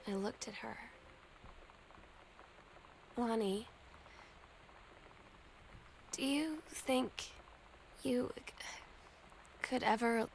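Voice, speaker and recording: Slow, earnest lovestruck teenage girl. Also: fairly high pitch, gravelly, a little hiss or hum, low-quality recording. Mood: hushed, breathless. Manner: confessional, hesitant, heartfelt. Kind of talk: intimate diary-style game narration. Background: music behind the voice.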